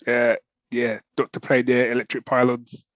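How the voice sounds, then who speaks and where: A man's voice: mid-range voice, monotone, normal speed, no room sound. A middle-aged British man co-hosting a podcast in an informal, chatty way, peppering his talk with matey asides. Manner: informal, often says man and you know. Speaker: podcast co-host. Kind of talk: casual chat.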